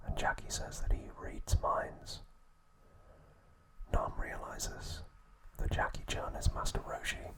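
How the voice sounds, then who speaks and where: A man whispering a scripted recap as an ASMR host, in an unvoiced, breathy whisper with slow, measured phrasing. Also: rough recording.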